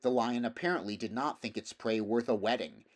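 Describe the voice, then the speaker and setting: A man with a middle-pitched voice narrates an adventure storybook. His reading is measured and expressive, with a dramatic storyteller's delivery. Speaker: audiobook reader.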